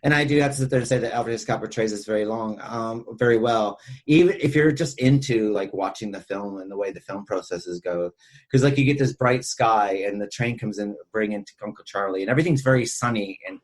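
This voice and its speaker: A man's voice: lowish voice, brisk, low-quality recording. A man co-hosting a podcast, chatting casually about films in a rambling, conversational way that circles back over his points. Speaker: podcast co-host. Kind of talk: casual film discussion chat.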